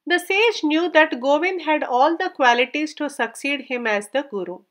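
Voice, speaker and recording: A woman's voice: high voice, moderate pace, fairly bright tone. A woman narrating a scripted folk tale as a storyteller, reading it aloud.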